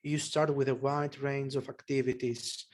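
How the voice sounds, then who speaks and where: A man's voice: mid-range voice, little pitch movement, normal speed. A man from Greece with a Greek accent, speaking English as a second language in an interview, thinking aloud with many fillers and restarts. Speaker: guest, polymathy researcher. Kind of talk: conversational interview.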